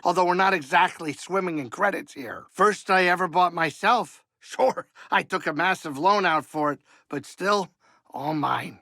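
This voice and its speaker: An actor playing a fussy, good-hearted middle-aged alien pilot and ship's cook in a video game, with a gravelly voice and a folksy, fretful, chatty manner. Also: male voice, high-pitched, sharp tone, no echo, exaggerated voice. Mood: warm, reminiscing. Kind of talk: video game character acting.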